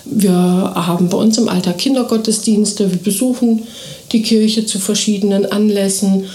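A woman who runs a kindergarten, answering radio interview questions eagerly and fluently, often filling pauses with short hesitation sounds. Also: medium pitch, a little mellow. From Thuringia, Germany. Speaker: kindergarten director. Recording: echoey room.

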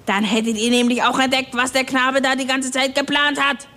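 A grumpy little ship's goblin from a seafaring audio tale, played by a woman. The voice sits very high with a sharp, forceful edge. He scolds and explains with exasperated emphasis, like the only one who knows what is going on. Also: level tone, normal speed. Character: grumpy, furious little male ship's kobold, scolding know-it-all. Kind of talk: acted German audio story.

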